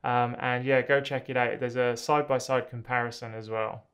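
A man's voice: medium pitch, normal speed, clean tone. Enthusiastic man casually reviewing gadgets. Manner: relaxed, enthusiastic, many ums and uhs. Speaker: drone reviewer. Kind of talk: casual product review to camera. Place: small indoor home studio.

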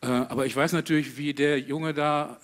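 A man in his sixties, a guest musician in a stage interview, talking in a halting, thoughtful way with many hesitations into a handheld mic. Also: fairly high pitch, steady tone. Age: 60s. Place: indoor stage with audience. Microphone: handheld microphone.

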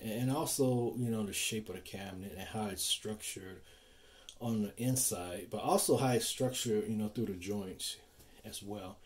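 Low-voiced man with a mellow tone. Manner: informal, halting, repeats himself. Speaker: loudspeaker designer presenting own build. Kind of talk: casual explainer to camera.

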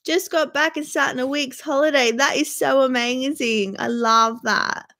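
A woman's voice: high-pitched, fairly even intonation, moderate pace, bright, forceful tone. A young Australian woman in her twenties, hosting a casual livestream from her bedroom, chatting with viewers by name and sounding stuffy from a sore throat. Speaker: livestream host. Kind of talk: casual live-stream chat with viewers. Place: small bedroom indoors.

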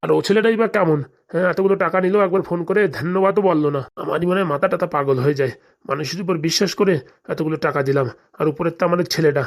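A cartoon actor plays an anxious father near retirement with a rural Bengali accent. He talks extremely fast, rambling on in an aggrieved, lamenting way, like a man forever complaining in a village comedy. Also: mid-range voice, lightly acted. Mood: grumbling. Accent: Bengali, rural dialect. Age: middle-aged. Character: anxious, aggrieved father nearing retirement, fretting over lost money.

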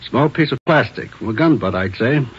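An actor playing a methodical police partner explaining evidence, on a dull old radio recording. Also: male voice, medium pitch, animated, a little fast, a little hiss or hum, close to an everyday voice. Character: methodical male police lab or partner detective. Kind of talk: 1950s radio drama acting.

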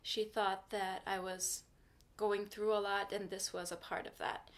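A woman's voice: mid-range voice, little pitch movement, unhurried. Earnest young woman vlogging from her bedroom. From Turkey. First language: Turkish. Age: young adult. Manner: earnest, frank, advice-giving. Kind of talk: casual talk to camera. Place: small bedroom indoors. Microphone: camera in front at desk distance.

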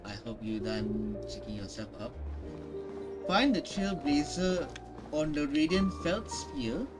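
A man's voice: on the high side, lively intonation, extremely slow. A man giving casual playthrough commentary on a video game, talking to himself and repeating his phrases. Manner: muttering to self, repeats phrases. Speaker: gaming YouTuber. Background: game music.

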